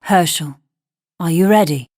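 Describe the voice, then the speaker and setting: A woman playing a gentle, patient motherly lady in a game cutscene, with a husky voice. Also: on the low side, unhurried. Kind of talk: animated game cutscene acting.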